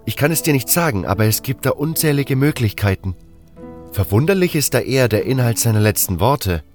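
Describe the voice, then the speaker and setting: A man's voice: medium pitch, animated, a little fast, a little mellow, close to an everyday voice. Man acting a learned, brooding apprentice mage. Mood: pondering. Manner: explanatory, reasoning step by step. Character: learned, brooding male mage's apprentice, pondering aloud. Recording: music under the voice.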